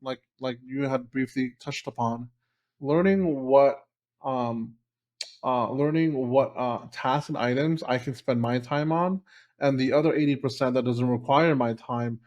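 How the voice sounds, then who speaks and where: A man's voice: medium pitch, measured pace, a little mellow. A man answering interview questions in a loose, casual way, with frequent ums and you-knows. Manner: loose and conversational, with many fillers like um and you know. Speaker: guest, short-term rental company founder.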